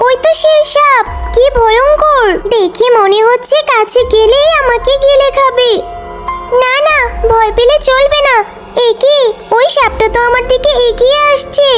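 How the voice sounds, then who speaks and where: Woman acting a very high-voiced brave young girl. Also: unhurried, faint music behind. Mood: anxious. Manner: earnest, expressive, exclaiming. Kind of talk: cartoon character acting.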